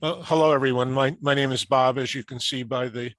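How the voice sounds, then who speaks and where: Halting, courteous older man, dry sound. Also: medium pitch, fairly even intonation, a bit bright, dry recording. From upstate New York. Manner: halting, wordy, formal and courteous. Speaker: club member asking a question. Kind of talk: conversational remarks on a video call. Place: video call.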